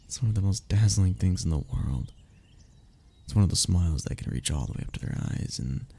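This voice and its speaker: A young man playing a wistful, lovestruck childhood best friend in an intimate audio roleplay, speaking in a halting, sighing, confessional way. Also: on the low side, vocal fry, mellow, husky, full character voice. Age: young adult.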